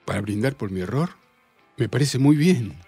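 A man's voice: medium pitch, lively intonation, moderate pace, mellow, soft background music, natural voice. A man with an Argentine accent playing a polite, earnest, smitten dinner guest in a radio play. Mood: charmed, flirtatious.

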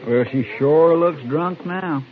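An actor playing an amiable, mild-mannered frontier sidekick in a radio Western, talking in a folksy way. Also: male voice, a little high, slow pace. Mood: mild, teasing. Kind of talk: old-time radio Western acting. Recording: tinny vintage radio recording.

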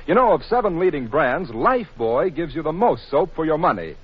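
A man's voice: fairly high pitch, sing-song, brisk, husky. A man reading a scripted commercial in 1940s radio announcing style. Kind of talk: 1940s radio advertising pitch. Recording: muffled, worn 1940s radio recording.

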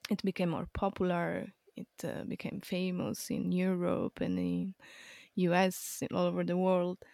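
Dry-sounding gravelly woman hosting a podcast. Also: fairly low pitch, unhurried, dark tone, no room sound. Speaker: podcast host. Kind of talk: podcast interview.

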